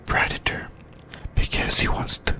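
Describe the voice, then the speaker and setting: Someone whispering an asmr talk, super slow n breathy, stops and starts, repeats words. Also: sharp tone. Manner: halting, in short phrases with repeats. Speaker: host of an anime discussion channel. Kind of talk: whispered ASMR anime discussion.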